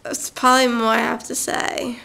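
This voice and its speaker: A woman's voice: on the high side, little pitch movement, normal speed, creaky, bright, forceful tone. A young woman vlogging to her camera in a rambling, casual monologue, going off on tangents with lots of fillers. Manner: chatty, goes off on tangents, many fillers. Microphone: camera mic.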